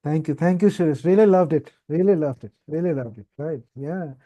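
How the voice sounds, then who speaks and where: A man's voice: fairly high pitch, fairly quick, dark tone, highs cut off. A man hosting a panel session, effusive and given to repeating his phrases. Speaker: event host. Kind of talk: moderating an online panel session.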